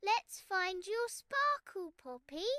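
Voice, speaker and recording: A woman voicing a kind, brave little princess in a children's bedtime story, speaking gently and reassuringly. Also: extremely high pitch, sing-song, takes it slowly, pitched above the performer's own voice, heavily acted. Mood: warm, encouraging.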